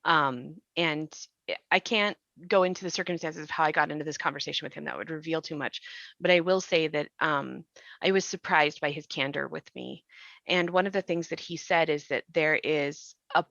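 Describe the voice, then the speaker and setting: Low-voiced woman in a roomy, muffled recording. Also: moderate pace, creaky, a bit bright. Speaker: actor and podcast panelist. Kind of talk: reflective discussion. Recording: muffled, roomy lo-fi call sound.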